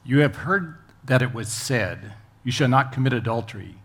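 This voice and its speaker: A man's voice: medium pitch, expressive, moderate pace, fairly bright tone. A man reading a Bible passage aloud as a scripture reader. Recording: faint background ambient noise.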